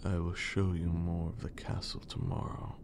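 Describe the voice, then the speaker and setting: A man playing an aloof, teasing vampire lord in an ASMR roleplay, speaking in a breathy, creaky whisper with a languid, condescending yet indulgent manner. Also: low voice, level tone, moderate pace, very noisy. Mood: softly caretaking.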